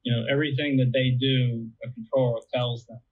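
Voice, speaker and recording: A man's voice: medium pitch, flat delivery, a little fast. A man being interviewed, explaining things in a casual, conversational way. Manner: conversational, explains with everyday analogies. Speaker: interviewee explaining air traffic control. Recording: tinny narrowband phone-like line.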